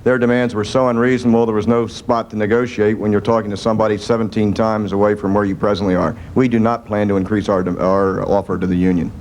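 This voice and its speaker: Low voiced official guy, blunt matter-of-fact answers to reporters. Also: little pitch movement, fast, hardly any pauses, a little mellow, slight background noise. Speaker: government official. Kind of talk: press Q&A answers.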